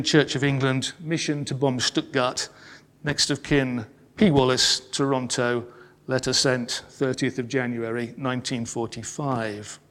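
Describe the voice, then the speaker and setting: A man telling a family wartime story in a measured, solemn sermon style. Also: mid-range voice, a bit bright. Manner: measured and solemn, slowing on key phrases. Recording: long reverb in a large hall.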